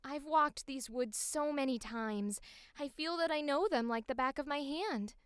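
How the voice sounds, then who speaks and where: A woman voicing a polite but curt teenage farm girl in measured, enunciated audition lines for a game. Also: sits high, no room sound, clean studio sound. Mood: firm. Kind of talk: video game audition line reading.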